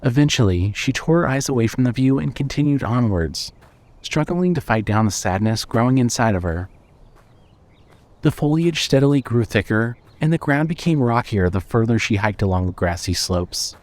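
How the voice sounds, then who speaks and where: A man narrating a scripted story in a measured, suspenseful way. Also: medium pitch, animated, a little mellow.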